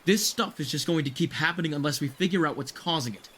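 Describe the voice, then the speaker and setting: A man's voice: on the high side, fast, a bit bright, a little hiss or hum, lightly acted. An actor playing an earnest, determined man who insists on pressing ahead, in an audio drama.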